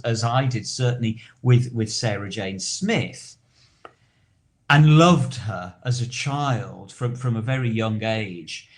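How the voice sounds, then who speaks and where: A man with a medium-pitched voice chats as a podcast co-host. He speaks earnestly and casually, feeling his way through sentences and often doubling back on words. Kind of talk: casual chat.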